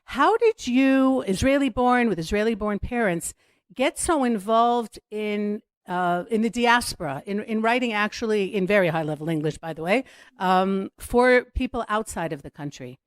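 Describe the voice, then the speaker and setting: A woman's voice: medium pitch, animated, normal speed, no room sound, clean studio sound. A woman hosting an interview podcast, asking chatty, eager questions. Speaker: podcast host.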